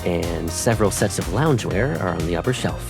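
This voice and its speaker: An actor playing a caring, attentive young boyfriend in a romance game, talking on the phone in a soft, reassuring way. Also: male voice, deep voice, animated, fairly quick, creaky, over music. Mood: caring, playful.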